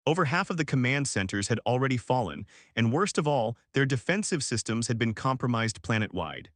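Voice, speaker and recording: A man's voice: medium pitch, expressive, a little fast, no echo, clean studio sound. A man reading a dramatic science fiction story as a scripted narrator.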